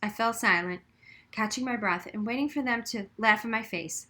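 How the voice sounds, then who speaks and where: Bright-voiced woman reading a story. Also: on the high side, moderate pace. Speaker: audiobook reader. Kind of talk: expressive story narration.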